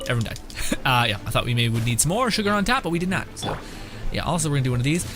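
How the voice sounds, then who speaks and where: A man's voice: mid-range voice, sing-song, very fast. Sarcastic young man streaming a game. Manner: rambling, sarcastic, profane. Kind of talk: casual joking gameplay commentary. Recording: game music behind voice.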